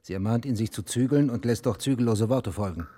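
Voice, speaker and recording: Actor as a dark-voiced, grudging official. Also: male voice, medium pitch, fairly quick, natural voice. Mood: grudging, reproachful. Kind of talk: German radio drama acting. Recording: reverberant scene with faint clicking noise.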